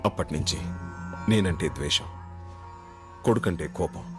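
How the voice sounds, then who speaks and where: An actor plays a sober middle-aged man in an Indian film drama. His low voice carries a grave, measured narrative over prominent music. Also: close to an everyday voice. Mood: grave, reflective.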